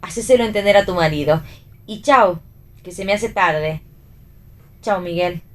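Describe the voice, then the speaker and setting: A woman's voice: medium pitch, a little slow, natural voice. A woman with an Argentine accent playing a breezy, teasing friend in a radio theatre reading. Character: Romy, breezy teasing female friend giving advice.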